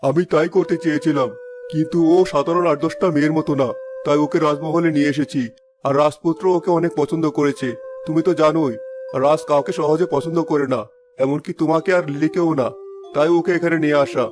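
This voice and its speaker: A man voicing a firm, reasonable fatherly figure in an animated fairy tale. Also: a little high, a little fast, close to an everyday voice. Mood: firm, explaining. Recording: music behind the voice. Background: background music.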